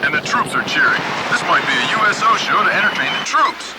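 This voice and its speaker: A man's voice: high-pitched, very animated, a little fast. A man playing an earnest live news correspondent reporting from a siege in a satirical radio play. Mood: urgent, reporting. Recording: loud helicopter-like noise behind.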